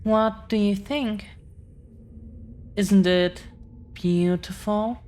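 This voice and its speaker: Very slow, gentle dragon girlfriend, acted by a woman. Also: medium pitch, fairly even intonation. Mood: tender, reassuring. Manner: gentle, hesitant, lingering pauses between phrases. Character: affectionate, protective dragon-descended girlfriend, shy and earnest. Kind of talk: roleplay audio, intimate scripted drama.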